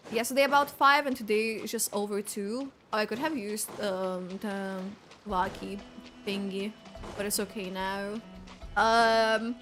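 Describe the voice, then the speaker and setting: A woman doing casual livestream game commentary, chatting to viewers and thinking aloud as she plays. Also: on the high side. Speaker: game streamer.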